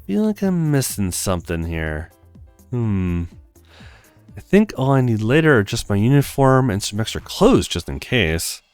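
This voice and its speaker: A man's voice: mid-range voice, big pitch swings, measured pace, clean tone, dry recording, soft background music. A man reading the part of a conscientious, pensive young man, the protagonist of a visual novel.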